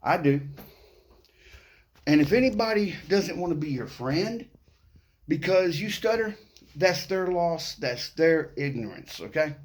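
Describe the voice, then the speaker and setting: Middle-aged man who stutters, upbeat and playful, pitched a bit high, talking straight to camera. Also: expressive, unhurried, long pauses. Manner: upbeat, playful, keeps adding "okay?". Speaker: vlogger. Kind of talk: casual motivational talk to camera.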